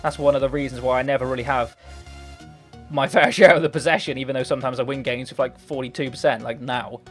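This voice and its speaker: A hoarse young man calls his football video game match, muttering low through quiet spells and bursting into shouts at every chance. Light background music runs under his voice. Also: fast talker. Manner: excitable, swings from muttering to shouting. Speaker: gaming commentator. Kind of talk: live game commentary. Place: hot bedroom.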